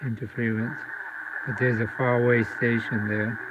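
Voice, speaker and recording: A man giving a casual, halting, matter-of-fact hands-on demonstration as a radio repairer. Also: mid-range voice, flat delivery. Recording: muffled, very noisy, radio static and hum. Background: antique radio static and interference.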